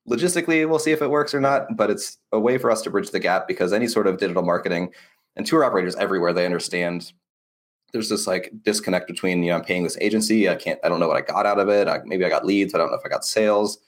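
A man being interviewed as an agency owner, explaining his work in an earnest, casual, conversational way. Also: sits low.

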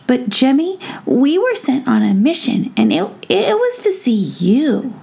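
Woman as an earnest, high-voiced little boy gnome. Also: huge pitch swings, a little slow, gravelly, warm tone, breathy edge, heavily acted. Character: small earnest, proud young boy gnome.

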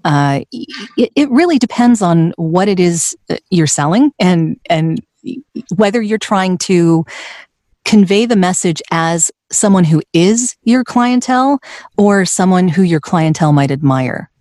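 Smooth-voiced woman voiceover artist, dry sound. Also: on the low side, lively intonation, measured pace, darkish tone, dry recording. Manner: laughs often, light and self-deprecating. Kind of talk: conversational interview.

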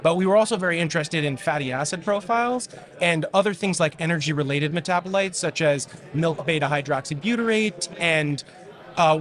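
A young man in his twenties, a graduate student answering interview questions about his research in a relaxed, technical way with fillers and restarts. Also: fairly high pitch.

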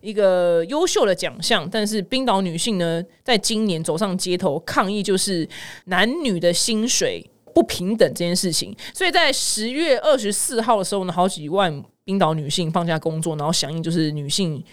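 A woman's voice: fairly low pitch, lively intonation, moderate pace. A woman with a Taiwanese accent hosting a casual, comedic news podcast, chatty, playful and opinionated as she mixes in personal anecdotes. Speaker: host of a news commentary podcast.

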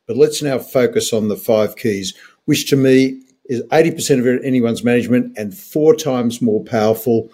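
A man's voice: mid-range voice, normal speed, warm tone. An older man in his sixties with an Australian accent, a doctor co-hosting a health podcast in an emphatic, opinionated way with wry humour.

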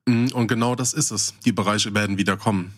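A man's voice: on the low side, fairly even intonation, fast. A man with a German accent chatting casually as co-host of a podcast.

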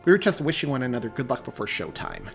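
A man's voice: mid-range voice, huge pitch swings, fast talker, creaky, soft background music. A man voicing a meek but defiant young boy who is a drummer, speaking in heated retorts. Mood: calm, polite. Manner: rising, heated confrontation.

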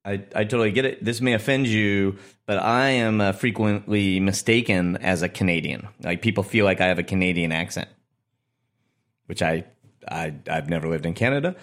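Low-voiced joking male podcast host. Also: moderate pace, fairly bright tone. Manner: joking, teasing. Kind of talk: casual interview chat.

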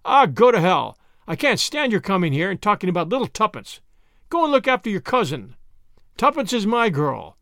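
A man's voice: high voice, very animated, brisk, no room sound, studio quality. A man playing a hot-tempered, heartsick young Englishman. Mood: anguished fury. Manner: clipped, bitter, impassioned. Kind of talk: audiobook character voice.

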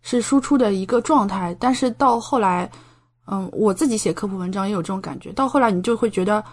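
A young woman from China chatting casually as a podcast guest, expressive and enthusiastic in the way she talks. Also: medium pitch, a little fast. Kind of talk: casual chat.

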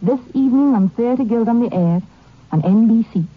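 Brief: woman promoting her show, breathy, tinny old radio. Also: a little high, moderate pace. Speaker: guest actress promoting a show.

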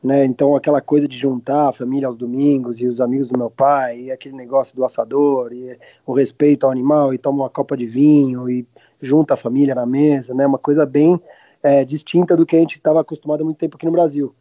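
A man in his late thirties from Argentina with a Brazilian accent, chatting casually and haltingly as an interview guest, often backtracking mid-sentence. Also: medium pitch, level tone, no room sound. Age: late 30s. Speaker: podcast guest, restaurant owner. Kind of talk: casual chat. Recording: roomless dry, slightly dull lo-fi sound.

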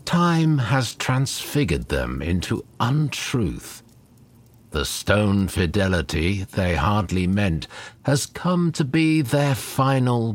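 A man reading poetry aloud in a measured, dramatic recitation, deliberate and with lingering pauses between phrases. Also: lowish voice. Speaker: poetry reader.

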